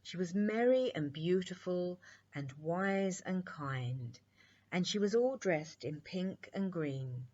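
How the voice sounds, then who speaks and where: Slow, animated woman reading a bedtime story. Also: on the low side, dull audio. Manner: expressive, deliberate storytelling delivery. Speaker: storybook reader. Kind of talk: bedtime story narration for children.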